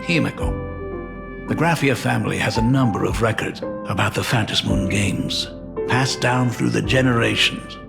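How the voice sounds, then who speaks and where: A gravelly stern father. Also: on the low side, moderate pace. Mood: formal. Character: stern older father, family patriarch. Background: instrumental game music.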